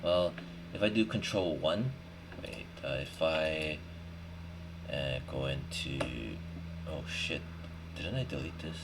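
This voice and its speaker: A man with a very deep voice walks through software steps at a slow pace. He talks informally, thinking aloud and checking in as he goes. Noticeable noise, typing and mouse clicks sit behind his voice. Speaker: 3D modelling tutorial instructor. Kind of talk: casual screen-recorded software tutorial, talking while working. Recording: clearly noisy, with keyboard and mouse clicks. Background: background noise, typing and clicking.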